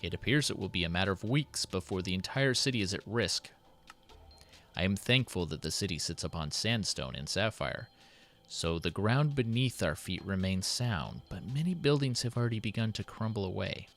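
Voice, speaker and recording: A man voicing a worried, dutiful city president who dictates his diary in a formal, measured, solemn way. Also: low voice, lively intonation, a bit bright. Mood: grave concern. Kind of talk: dramatic in-character diary reading.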